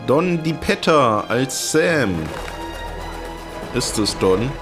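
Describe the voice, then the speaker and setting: Ein Mann kommentiert locker und mit trockenen Sprüchen ein Videospiel als Let's-Play-Host. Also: male voice, mid-range voice, big pitch swings, very slow. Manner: chatty, wry asides while reading game menus. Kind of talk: casual gameplay commentary. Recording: talking over game music.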